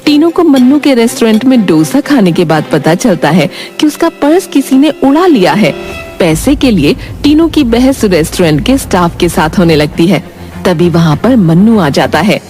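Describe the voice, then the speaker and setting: A woman's voice: medium pitch, expressive, normal speed, a little mellow, soft background music. A woman reading scripted narration for a moral bedtime story.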